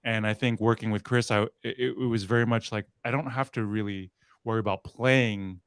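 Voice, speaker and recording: Low-voiced reflective man, dry sound. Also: fairly even intonation, moderate pace, smooth, no room sound. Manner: reflective, hedging with fillers. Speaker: actor on a panel. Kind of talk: casual panel discussion.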